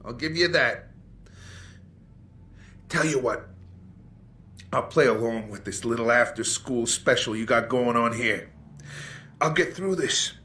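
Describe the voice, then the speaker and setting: A man's voice: medium pitch, unhurried, long pauses, sharp tone, slight background noise. An actor playing an embittered, sardonic male mercenary in a cinematic video game, hard-boiled and out for revenge. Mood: sardonic, dismissive. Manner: sardonic, profane, defiant.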